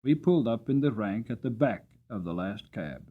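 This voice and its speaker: Expressive male audiobook storyteller. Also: lowish voice, normal speed, breathy edge, phone-quality sound. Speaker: audiobook narrator. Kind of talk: dramatic scripted storytelling.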